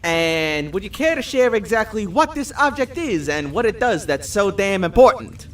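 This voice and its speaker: A man's voice: sits high, very animated, moderate pace, far from the mic, pitched above the performer's own voice. A man voicing a cocky, foul-mouthed supernatural hunter in an audio drama, sarcastic and taunting. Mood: dry, smug. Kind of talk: dramatized audio fiction dialogue.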